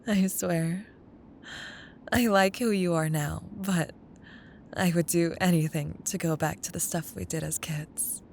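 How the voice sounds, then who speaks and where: A woman playing a caring, wistful young childhood best friend in an intimate, gently teasing ASMR roleplay. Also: steady tone, gravelly. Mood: tender. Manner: tender, unhurried, teasing. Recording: audible low rumbling background noise.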